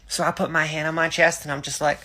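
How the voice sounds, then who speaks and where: A young woman from Iceland telling a blunt, sweary story to camera with deadpan humor. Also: sits low, fast talker.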